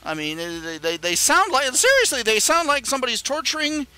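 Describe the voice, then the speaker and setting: A man's voice: very high voice, very animated, brisk, sharp tone, clean tone, soft background music. A man hosting a live stream, talking in a casual rambling monologue with many stammering restarts and chuckling asides.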